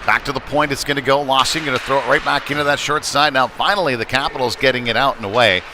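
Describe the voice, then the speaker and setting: Male hockey commentator over clear venue noise. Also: mid-range voice, fast. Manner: conversational, folksy asides. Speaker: sports play-by-play commentator. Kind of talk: live youth ice hockey play-by-play commentary.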